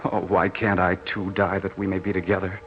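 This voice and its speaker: Man acting a deep-voiced, haunted young lover. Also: flat delivery, fairly quick, slight background noise, lightly acted. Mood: yearning, anguished. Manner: solemn, poetic, impassioned. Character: grieving young male war veteran, devoted, haunted romantic. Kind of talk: 1940s radio drama acting. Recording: muffled old radio recording.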